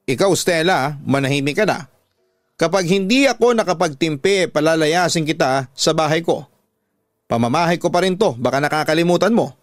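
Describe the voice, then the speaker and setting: A man's voice: on the high side, expressive, normal speed, dry recording, studio quality, lightly acted. A man playing a proud, domineering father who scolds and boasts in a radio drama. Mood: angry, threatening. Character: proud, angry, domineering father of a grown daughter. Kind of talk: Filipino radio drama acting.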